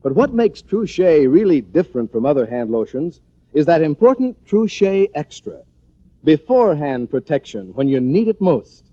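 A man delivering a scripted 1940s radio advertising pitch as the announcer. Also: very animated, normal speed, dry recording. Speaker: commercial announcer. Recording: dull, dry old radio recording.

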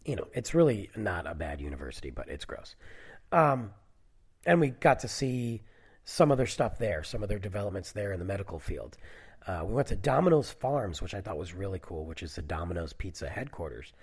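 A man's voice: deep voice, big pitch swings, a little fast, darkish tone, slightly rough. A man in his forties, stuffy from a cold, chatting casually on his own and rambling through stories with lots of fillers. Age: 40s. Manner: rambling, digressive, many fillers. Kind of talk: casual solo chat.

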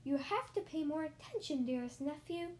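A woman acts out a storybook mouse's fussing rodent relatives. The voice is high and excitable, full of chiding and concern. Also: slow pace, a little hiss or hum. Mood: scolding. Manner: exclamatory, chiding.

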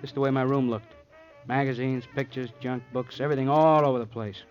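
A man's voice: mid-range voice, fairly even intonation, fairly quick, darkish tone, faint music behind, natural voice. A man plays a down-to-earth police detective sidekick with a mid-range voice. He muses casually and wryly in old radio drama fashion, and the recording is dull and dated. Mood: wry, chatty. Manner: casual, wry, musing. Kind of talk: 1950s radio crime drama acting.

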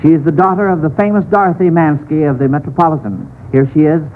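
An American man hosting a 1940s radio quiz show with formal, measured announcing. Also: fairly high pitch, fairly even intonation. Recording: tinny, noisy old radio broadcast.

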